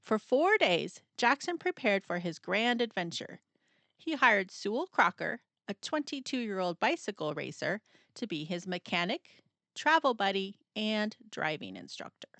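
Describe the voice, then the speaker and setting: Female storybook narrator: lively, swooping intonation, dramatic pauses, playful emphasis, very dry close sound. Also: medium pitch, no echo. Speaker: storyteller reading a children's book aloud. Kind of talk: expressive scripted picture-book narration.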